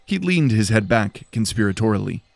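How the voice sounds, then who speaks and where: A man's voice: on the low side, big pitch swings, fairly quick, vocal fry, darkish tone, no room sound, faint music behind. A man reading scripted, dramatic narration for a fantasy audio drama.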